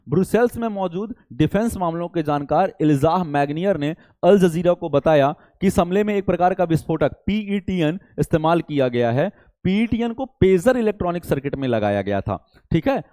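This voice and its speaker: A man's voice: sits high, mellow, dry recording, studio quality. A man teaching a current affairs lesson in a didactic way, often checking in and posing rhetorical questions. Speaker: teacher for competitive exam students.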